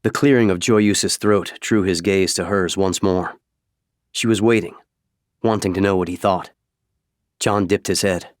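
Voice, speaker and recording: A man's voice: sits low, steady tone, measured pace, pauses often, no echo, studio quality. A man reading scripted fiction as an audiobook narrator.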